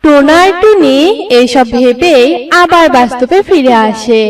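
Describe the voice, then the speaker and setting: A woman's voice: sits high, expressive, unhurried, far from the mic. A woman narrator reading a scripted story for children.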